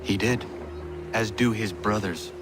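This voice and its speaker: A man's voice: fairly low pitch, unhurried, music in the background, natural voice. An actor playing a stoic young male assassin in a video game, speaking in a terse, formal, grave way. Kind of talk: video game character dialogue.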